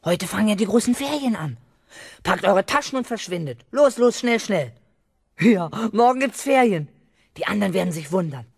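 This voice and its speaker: A man's voice: sits high, animated, moderate pace, vocal fry, breathy edge, no echo, clean studio sound, heavily acted. Man acting a cocky, scheming young schoolboy. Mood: scheming, pleased. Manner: boastful, emphatic, self-assured. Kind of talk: 1970s German children's radio play acting.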